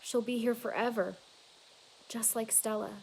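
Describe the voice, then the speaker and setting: A woman reading a children's novel aloud in an expressive, dramatic storytelling manner. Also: a little high, measured pace, creaky, slight background noise, rough recording. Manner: dramatic, with emphatic exclamations and hushed asides.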